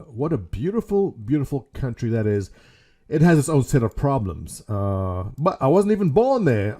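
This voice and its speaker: A man's voice: mid-range voice, dark tone, husky. A middle-aged man from South Africa with a South African accent, chatting casually on webcam from a small room at home, rambling and joking at his own expense. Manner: rambling, jokey, self-mocking. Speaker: gaming community leader.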